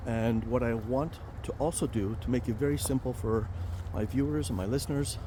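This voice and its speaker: A man hosting a casual vlog while walking outdoors near a highway, chatty and rambling with many fillers and restarts. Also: moderate pace, noisy background. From Romania. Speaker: vlog host, financial planner.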